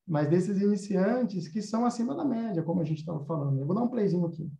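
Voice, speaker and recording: Brazilian guy explaining stuff casually, pretty high voice, slightly muffled roomy recording. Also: lively intonation, fast, creaky. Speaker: host, animation course teacher. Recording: roomy, slightly dull sound.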